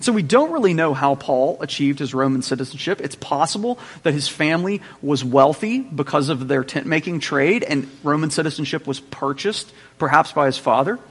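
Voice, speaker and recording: A man with a slightly higher voice teaches a Bible class in a relaxed, conversational way. He often checks in with his listeners as he explains. His voice carries a long reverb, as if recorded in a large hall. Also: normal speed, hardly any pauses, husky.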